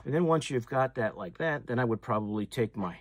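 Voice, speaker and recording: A man's voice: medium pitch, lively intonation, fairly quick, noisy background. A man, hosting a fishing channel and chatting casually through a hands-on demonstration, full of fillers and restarts. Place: tackle shop or room with walls of packaged lures, indoors.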